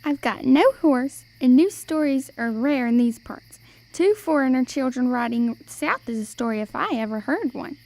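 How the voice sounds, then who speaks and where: A woman's voice: sits high, animated, normal speed, darkish tone, low-quality recording. A young woman playing a sly, folksy old travelling storyteller in an amateur radio play. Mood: wry. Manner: folksy, old-fashioned phrasing.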